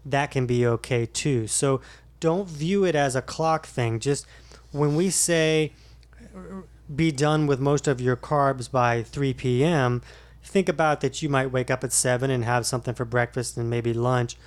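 A man's voice: medium pitch, steady tone, unhurried, clear, resonant tone. A middle-aged man co-hosting a casual podcast, explaining diet advice in an easygoing way with frequent fillers. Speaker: podcast co-host, fitness coach.

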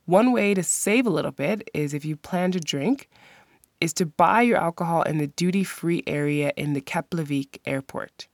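A woman's voice: low voice, normal speed, no room sound, clean studio sound. A woman hosting a podcast, giving friendly, practical travel advice. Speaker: podcast host. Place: lives in the country she describes.

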